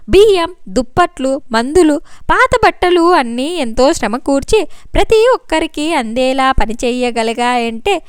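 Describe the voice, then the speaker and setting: High-pitched, clear woman narrator. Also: no echo, studio quality. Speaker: audiobook narrator. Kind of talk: scripted story narration.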